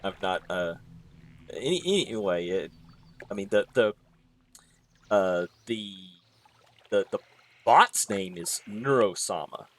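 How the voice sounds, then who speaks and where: Halting man with a lowish voice. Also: lively intonation. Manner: hesitant, halting with many uh's. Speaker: streamer co-host. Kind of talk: casual gaming stream chat.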